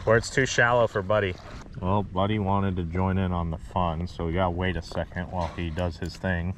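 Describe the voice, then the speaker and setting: A young man hosting a fishing vlog, chatting casually and playfully with excited exclamations while wading outdoors. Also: low-pitched, a little fast, a little hiss or hum. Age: young adult. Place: outdoors wading in open shallow water. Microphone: action camera, handheld or body-worn.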